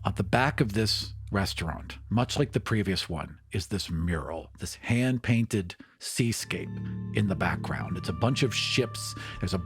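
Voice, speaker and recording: A man narrating a tabletop roleplaying game as game master, with dramatic, deliberate phrasing. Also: deep voice, lively intonation, husky. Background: music.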